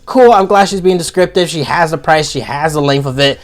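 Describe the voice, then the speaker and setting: A man's voice: a little high, brisk. A man with a froggy, sore-throated voice from being sick, giving a blunt, profane comedic review in a casual monologue. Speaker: video reviewer.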